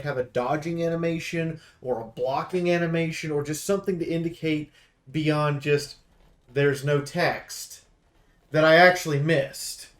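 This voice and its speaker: A man giving casual, off-the-cuff commentary as a video game reviewer, talking in an animated, rambling, opinionated way with casual slang. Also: fairly high pitch, slight background noise.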